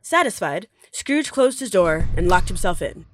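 A woman playing a wry, theatrical storyteller, narrating an audio play with deliberate emphasis. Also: fairly low pitch, very animated, soft background music. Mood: wry, suspenseful.

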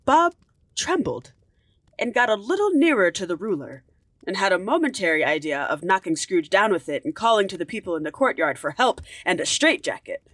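Animated spirited storybook narrator. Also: female voice, medium pitch, brisk, no room sound. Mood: amused, fond. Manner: expressive, theatrical.

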